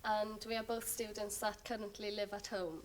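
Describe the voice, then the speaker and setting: A woman's voice: medium pitch, level tone, moderate pace, far from the mic. A young woman answering as a student guest, hesitant and full of fillers. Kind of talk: casual interview answers.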